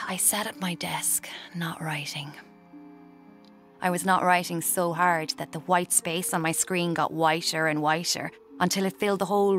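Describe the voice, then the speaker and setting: An actress playing a brooding, watchful young woman who narrates her own story in an intimate, deliberate way. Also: on the low side, creaky. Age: young adult. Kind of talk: scripted first-person radio drama narration.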